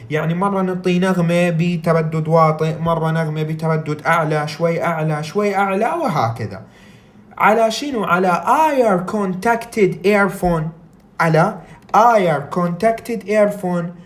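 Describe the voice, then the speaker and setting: A woman with an Iraqi Arabic accent gives a recorded lecture in a fairly low voice. Her intonation rises and falls a lot. She reads English passages slowly, then explains them in Arabic, asking herself questions and answering them.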